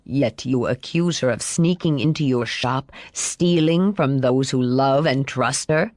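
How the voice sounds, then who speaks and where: A woman narrator puts on a grave, protective father for an audiobook. The man speaks low, with formal wording and measured restraint. The recording is dry. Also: darkish tone, no echo. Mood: cold, controlled. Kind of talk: audiobook character voice.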